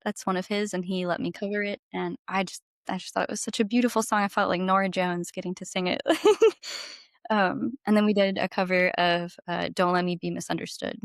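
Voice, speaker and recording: A woman's voice: fairly low pitch, steady tone, fairly quick, dry recording, studio quality. A woman chatting casually as a podcast guest, with an enthusiastic, informal way of talking. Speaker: podcast guest, singer-songwriter. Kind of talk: casual chat.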